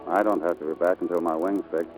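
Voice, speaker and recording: A man's voice: sits low, steady tone, quick pace, a little mellow, lightly acted, low-quality recording. An actor playing a breezy, flirtatious, self-assured male pilot in 1940s radio drama style. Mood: earnest, wooing. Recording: old 1940s radio broadcast, music behind.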